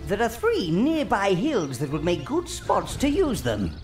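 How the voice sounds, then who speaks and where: Wildly swooping, servile old minion. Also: male voice, high-pitched, wildly swooping pitch, unhurried, full character voice. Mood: instructing, sly. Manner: theatrical, relishing destruction. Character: scheming old male minion advisor, gleefully servile. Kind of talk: video game character acting. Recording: loud music behind the voice.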